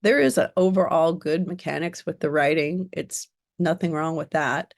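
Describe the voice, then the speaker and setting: A woman giving a prepared critique as a reviewer, in a measured, direct and instructive way. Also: deep voice. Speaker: script reviewer.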